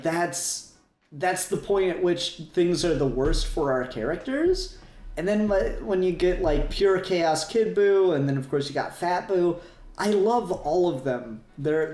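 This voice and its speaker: A man's voice: a little high, lively intonation, takes it slowly, a little mellow, echoey room. A man hosting a casual fan podcast, talking in a rambling, enthusiastic way and swearing casually. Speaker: podcast host.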